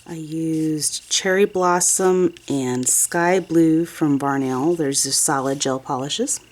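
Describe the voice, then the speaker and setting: A low-pitched woman in her thirties talks through a nail tutorial, sniffly from a head cold. She chats casually, wanders off track and corrects herself as she goes. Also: slow, a little mellow, a little hiss or hum. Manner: chatty, rambling, self-correcting. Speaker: nail art vlogger. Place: home desk, nail station. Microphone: overhead camera, hands only.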